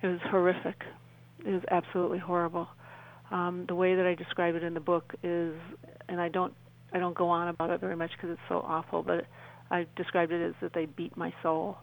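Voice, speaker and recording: A woman speaking as a guest on a radio show, telling her personal story in an earnest, halting way with repeated phrases. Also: fairly low pitch, completely flat delivery, gravelly, rough recording. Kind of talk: personal account in an interview.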